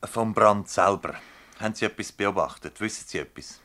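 An actor playing a probing, impatient public prosecutor in a Swiss German dialect radio play, questioning in a formal, insistent way. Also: male voice, lowish voice, moderate pace, sharp tone. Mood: measured, probing.

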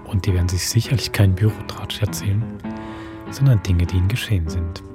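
Casting: actor as ominous male horror host, low register, scripted sign-off over loud music. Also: fairly quick, lightly acted. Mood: ominous, measured. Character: ominous male storyteller closing a campfire horror tale. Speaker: narrator and host. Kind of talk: scripted horror-series narration.

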